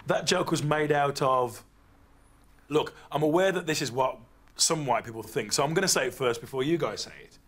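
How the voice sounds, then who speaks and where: A man speaking in a documentary interview, reflective and lively, recorded in a living room. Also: fairly high pitch, normal speed. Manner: reflective, mimics voices when quoting. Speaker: interviewee, comedian.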